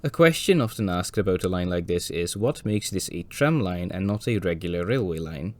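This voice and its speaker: Man, very low voice, a bit hoarse, scripted narration, dry wry humour. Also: expressive, brisk, a little mellow. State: hoarse from a cold. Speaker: railway video presenter.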